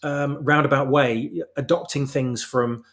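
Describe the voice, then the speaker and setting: A man's voice: mid-range voice, fairly even intonation, measured pace, fairly bright tone. A man giving emphatic opinions in a casual discussion, often repeating his phrases for weight. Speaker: guest, fan-fiction writer.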